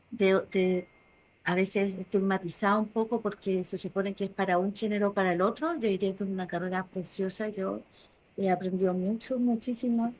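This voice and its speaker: A woman in her forties with a Chilean accent, speaking softly and reflectively as an interview guest, often restarting her phrases. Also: mid-range voice, poor audio. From southern Chile. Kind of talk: casual interview conversation.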